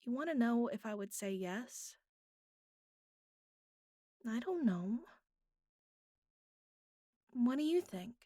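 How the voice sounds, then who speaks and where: Woman acting a high, slow-talking bratty, vulnerable girlfriend type. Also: expressive, no echo, clean studio sound. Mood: teasing, bratty. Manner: halting, pleading, pouty, soft breathy asides. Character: bratty, vulnerable young woman held captive by her admirer. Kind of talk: intimate audio roleplay addressed to the listener.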